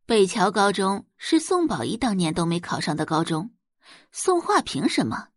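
Female story narrator, dramatic read with wide pitch movement, slightly husky, close dry booth sound. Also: very animated, normal speed, dry recording. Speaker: audiobook narrator.

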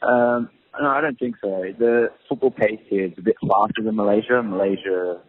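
A young man talks in a low, husky voice, pausing often with ums and uhs as he answers. The sound is thin and tinny, like a phone line. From Australia. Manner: relaxed, hesitant, many ums and uhs. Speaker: professional footballer, guest. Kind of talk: casual interview answers.

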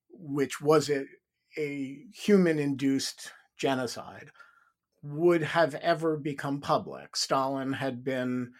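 A man hosting a podcast, asking a long, thoughtful question with halting restarts. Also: mid-range voice, a bit bright. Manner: thoughtful, halting, repeats words. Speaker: podcast host. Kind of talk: interview question.

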